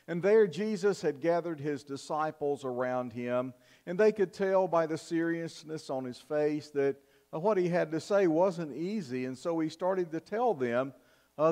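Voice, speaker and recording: An older man preaching a sermon in a church, in a measured, earnest style with emphatic pauses. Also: fairly high pitch, a little mellow. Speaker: pastor. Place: church sanctuary, at the pulpit. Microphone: fixed camera from the back of the church.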